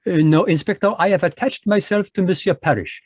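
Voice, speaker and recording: Fussy Belgian detective, polite, French-accented, slightly high, close and dry. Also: male voice, a little fast, no echo. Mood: polite, shrewd. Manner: precise, polite, sprinkles French words. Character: fussy Belgian detective, middle-aged man. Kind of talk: radio drama read live over video call. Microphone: home microphone on a video call.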